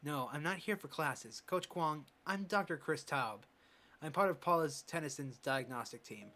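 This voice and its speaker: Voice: medium pitch, normal speed, bright, forceful tone. A performer voicing a polite, earnest male doctor asking questions in a fan dub. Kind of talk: amateur fan dub of a medical drama.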